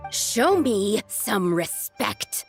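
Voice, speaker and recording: A woman's voice: medium pitch, sing-song, extremely slow, soft background music. A woman playing a boastful, hot-tempered young female archaeologist in a video game, pompous and easily offended. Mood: angry, challenging. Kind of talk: video game cutscene acting.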